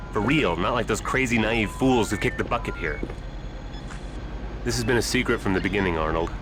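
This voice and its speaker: Low-voiced, weary, cynical male scientist, acted by a man. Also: natural voice. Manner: resigned, ironic, explanatory. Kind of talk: video game voice acting. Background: music.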